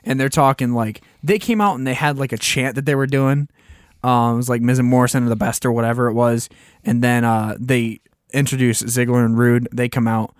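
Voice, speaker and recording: A man's voice: mid-range voice, fairly even intonation, a little fast, clean tone, no room sound, studio quality. A man co-hosting a podcast in casual chat, slightly losing his voice and recapping with many ums and restarts. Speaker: podcast co-host.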